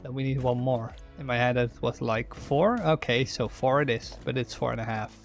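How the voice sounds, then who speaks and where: A young man from the Netherlands with a Dutch accent talks his way through a factory-building game, restarting words as he thinks aloud. His voice has a slightly gravelly, fry-tinged texture at a middling pitch. Soft game music plays faintly underneath. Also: normal speed. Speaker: streamer. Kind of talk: gameplay commentary while reading chat.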